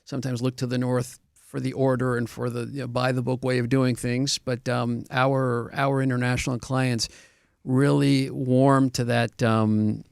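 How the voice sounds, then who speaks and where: Argentine man with a flat, gravelly voice. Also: mid-range voice, no echo, clean studio sound. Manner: measured, explanatory, with many ums and uhs. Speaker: guest, real estate broker. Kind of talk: radio interview answers.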